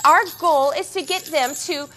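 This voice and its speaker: A young woman leads a gym class for parents and babies, talking in a coaxing, sing-song way that leaps up high on playful words. Her voice is high and bright. Bells jingle softly behind her. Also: a little slow, slight background noise. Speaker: baby and toddler gym class teacher.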